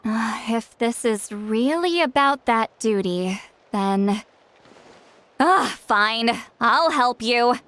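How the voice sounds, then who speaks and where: Haughty old-as-centuries shaman lady, highish lively voice, prickly and curt, video game style. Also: takes it slowly, a little hiss or hum. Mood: reluctant. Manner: haughty, curt, theatrical sighs and threats. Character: centuries-old shaman woman, youthful-sounding, proud, prickly, reluctant softie.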